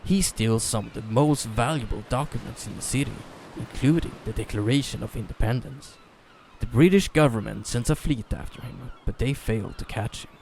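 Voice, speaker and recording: A man's voice: medium pitch, moderate pace, creaky, a little mellow, a little hiss or hum, rough recording. Man giving a dramatic story narration.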